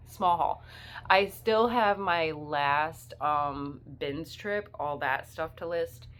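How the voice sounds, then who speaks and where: A woman's voice: low voice, takes it slowly, a bit bright, a little hiss or hum. A woman with the flu, sniffly, chatting casually and rambling as a part-time reseller in her vlog. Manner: chatty, rambling, many fillers.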